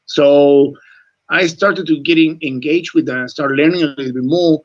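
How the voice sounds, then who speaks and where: A man's voice: on the high side, fairly even intonation, moderate pace, muffled recording. A man in his forties from Chile, whose first language is not English, talking earnestly as a rugby coach in a radio interview. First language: Spanish. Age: 40s. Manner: earnest, repeats words, says mate often.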